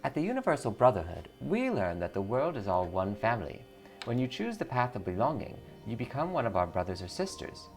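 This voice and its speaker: A man's voice: medium pitch, wildly swooping pitch, fairly quick. A man voicing a perky young female cult receptionist, earnest and eager, in video game dialogue. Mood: earnest, welcoming. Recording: music behind the voice.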